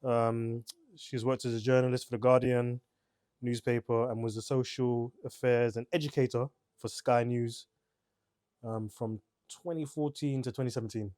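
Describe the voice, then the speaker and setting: A man's voice: medium pitch, little pitch movement, moderate pace, darkish tone, dry recording, studio quality. A man co-hosting a casual book discussion podcast, talking hesitantly with many fillers. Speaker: podcast co-host.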